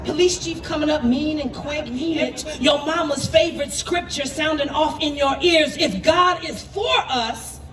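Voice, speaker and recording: Woman poet, very high voice, spoken word recital, emphatic and dramatic, distant roomy recording. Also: unhurried, few pauses. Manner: impassioned, emphatic, dramatic delivery. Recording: roomy echo, distant microphone.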